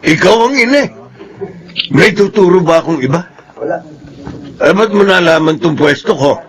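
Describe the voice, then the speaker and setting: Heartless middle-aged Filipino dad, radio drama: gravelly, slightly high, sneering, crowd behind. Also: male voice, lively intonation, moderate pace. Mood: defensive, annoyed. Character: callous, brazen middle-aged father shirking his duty.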